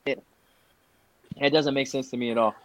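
A man's voice: medium pitch, fairly even intonation, brisk, no room sound. A man in his thirties who coaches and fights, chatting casually on a podcast and repeating himself emphatically.

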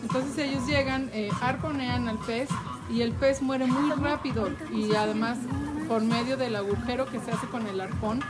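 A woman's voice: a little high, steady tone, normal speed, loud music behind the voice. A Mexican woman hosting at an outdoor event, chatting casually with many fillers and translating for viewers. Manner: chatty, many fillers, switches to English to ask questions. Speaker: host.

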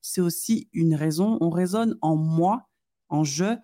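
A woman's voice: lowish voice, takes it slowly, mellow, no echo, clean studio sound. A woman hosting a live discussion, reading viewer comments and putting hesitant questions to a guest. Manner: reads comments aloud, hesitant when asking questions.

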